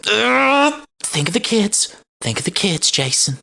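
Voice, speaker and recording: A woman's voice: medium pitch, sing-song, unhurried, gravelly, sharp tone, a little hiss or hum, heavily acted. A woman playing a folksy, friendly host of a kids' lesson segment, easily exasperated, in an audio drama. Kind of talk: folksy kids' show presenting.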